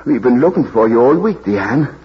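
An actor playing a kindly, courteous priest in an old radio drama, speaking in a polite, paternal way. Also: male voice, mid-range voice, animated, normal speed, slightly rough. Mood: gentle, courteous. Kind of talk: 1940s radio drama acting. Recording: muffled, noisy old radio broadcast.

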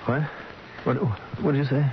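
A man's voice: mid-range voice, flat delivery, normal speed, natural voice. An actor playing an anxious, stammering father in a radio drama, hesitant and worried. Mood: dazed worry. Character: anxious, flustered father fretting over a patient. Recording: muffled old radio broadcast, faint hiss.

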